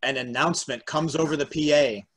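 A man's voice: a little high, fairly even intonation, fairly quick, breathy edge. An American man in his forties hosting and narrating a read-through over a video call from home. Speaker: host and narrator. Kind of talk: reading stage directions and trivia on a video table read. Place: room at home. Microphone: video call, computer at arm's length. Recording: noisy video call with clicks.

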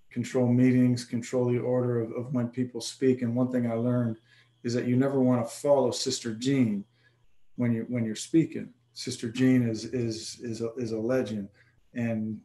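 Athletic director guy from detroit, 40s, talking flat and mellow on a zoom call in an echoey office. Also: mid-range voice. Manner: friendly, repeats words as he starts sentences. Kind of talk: personal story on a video call. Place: office, indoors. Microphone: webcam on a video call.

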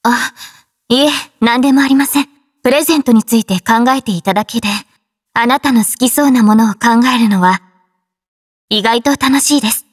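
Female android soldier for anime game: gentle, formal, composed, slightly high breathy voice. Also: normal speed, no echo, clean studio sound. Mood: soft, teasing. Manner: polite, formal, soft-spoken. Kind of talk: Japanese anime game voice acting.

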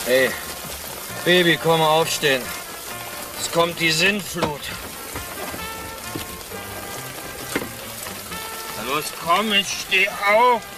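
An actor playing a pushy, coaxing drinker in a German crime audio drama, insistent and familiar. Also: male voice, a little high, takes it slowly, low-quality recording. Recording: music and rain under dialogue.

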